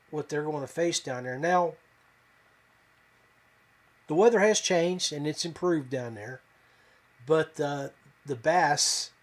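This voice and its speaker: A man from West Virginia hosting a fishing podcast in a casual, folksy, halting way. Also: a little high. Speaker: podcast host.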